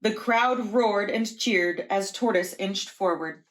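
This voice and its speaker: Female preschool teacher, mid-pitched voice, reading a storybook aloud to young children. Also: slow pace.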